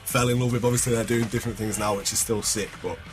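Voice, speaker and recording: A man's voice: lowish voice, fairly even intonation, quick pace, music in the background. Informal man, band guest. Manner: informal, slangy. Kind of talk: casual live interview chat. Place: radio studio. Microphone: studio broadcast microphone.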